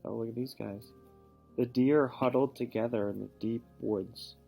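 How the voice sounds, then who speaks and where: A man reading a picture book aloud to a child, pausing to ask questions and give patient encouragement. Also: mid-range voice, fairly even intonation, creaky, dark tone, soft background music, rough recording. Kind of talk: storybook read-aloud with teaching asides.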